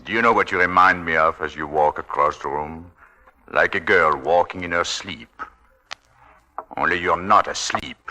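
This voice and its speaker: Cruel imperious husband, very deep voice, hard forceful edge, taunting, old radio melodrama. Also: male voice, moderate pace. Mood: cold, taunting. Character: cold, menacing, domineering husband tormenting his wife. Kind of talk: 1940s radio drama acting. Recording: old radio broadcast recording.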